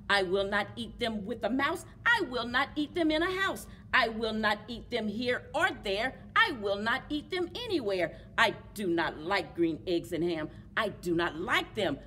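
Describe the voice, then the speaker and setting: Grumpy rhyming refuser read by a woman: emphatic, slow, swooping pitch, clear room noise. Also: bright, forceful tone. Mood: exasperated. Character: stubborn, grumpy creature refusing food. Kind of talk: rhyming picture-book read-aloud. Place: public library children's book corner.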